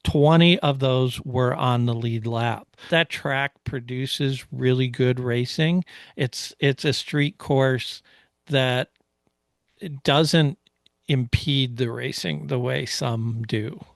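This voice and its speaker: A man co-hosting a casual motorsport recap, speaking in a reflective, slightly halting way. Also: mid-range voice, fairly even intonation, vocal fry, no echo, studio quality. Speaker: podcast co-host.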